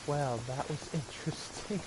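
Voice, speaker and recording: A man's voice: on the high side, noisy background. A man casually commenting while playing a video game, thinking aloud as he goes. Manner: slow, musing, thinking aloud. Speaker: gamer. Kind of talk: casual let's-play commentary.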